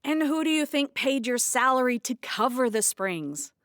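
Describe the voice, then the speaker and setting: An actress playing a practical woman bookkeeper in an audio drama, explaining things frankly with gentle sarcasm. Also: sits high, expressive, normal speed, dry recording, clean studio sound. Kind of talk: naturalistic audio drama dialogue.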